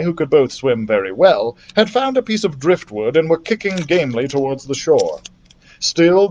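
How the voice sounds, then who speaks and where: Animated man reading a storybook aloud. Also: fairly high pitch, lo-fi sound. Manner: theatrical, expressive. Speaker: library storytime reader. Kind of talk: dramatic read-aloud of a children's adventure story.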